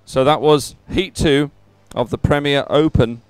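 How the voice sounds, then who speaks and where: A man's voice: on the high side, fairly even intonation, unhurried, clear, resonant tone, no echo, rough recording. A man giving live race commentary in an informal, conversational and encouraging way. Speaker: sports commentator.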